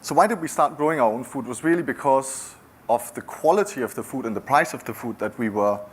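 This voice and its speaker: A man's voice: mid-range voice, a little hiss or hum. A middle-aged man from Denmark with a Danish accent, giving a conversational founder's talk with frequent restarts. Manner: conversational, halting with many restarts. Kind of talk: conference talk.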